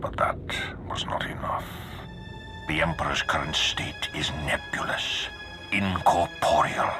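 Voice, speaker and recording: An actor playing a stern, menacing Sith lord in a sci-fi game, speaking formally and ominously in measured phrases. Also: male voice. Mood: grave, ominous. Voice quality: very deep, gravelly. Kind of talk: dramatic sci-fi game dialogue. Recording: music behind the voice.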